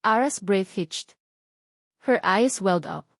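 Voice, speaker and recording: A woman's voice: medium pitch, a little slow, dry recording. Dry-sounding Filipino woman narrating romance. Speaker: audiobook narrator. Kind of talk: dramatic scripted romance narration.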